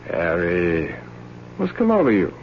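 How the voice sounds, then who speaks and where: Callous young aristocrat, haughty, very animated pitch, old radio drama, dull hissy recording. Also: male voice, measured pace, full character voice. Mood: restless, commanding. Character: young, cold, arrogant, pleasure-seeking English gentleman.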